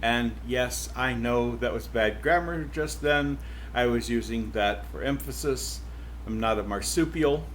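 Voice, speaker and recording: A man's voice: medium pitch, a little slow, a little hiss or hum, natural voice. A man reading aloud as a witty, digressive female cow narrating her story. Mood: wry.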